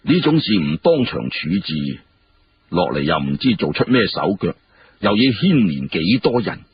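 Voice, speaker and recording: A man's voice: low voice, sing-song, normal speed, voice pushed down. A man voicing an aged, tactful court minister who weighs each phrase in Cantonese storytelling. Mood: calm, approving. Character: aged senior minister, measured, tactful, deferential to the emperor. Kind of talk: Cantonese historical storytelling dialogue. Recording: muffled, clearly noisy radio-like recording.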